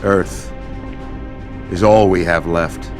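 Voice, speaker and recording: A commanding, skeptical military leader, low in pitch and clipped in delivery. He speaks with grave authority in a cinematic game scene, music playing behind. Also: steady tone, slow. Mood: forceful, doubtful. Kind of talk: cinematic sci-fi game acting.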